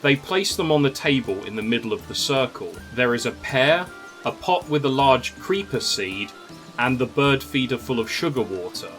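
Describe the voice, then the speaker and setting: Male narrator for a fantasy story, highly varied pitch, music clearly behind him. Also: expressive, moderate pace, a bit bright. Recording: music under the voice.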